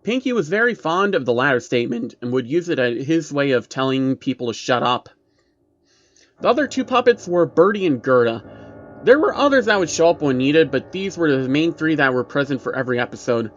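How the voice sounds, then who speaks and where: A man's voice: fairly high pitch, big pitch swings, fairly quick. A man narrating a scripted story in a casual, sardonic reviewer's manner. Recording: dull lo-fi recording with faint noise.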